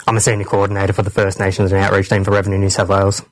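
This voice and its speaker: Low, even-toned Australian man. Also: racing, no echo. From Western Sydney, Australia. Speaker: team coordinator, interview guest. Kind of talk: explanatory interview answers.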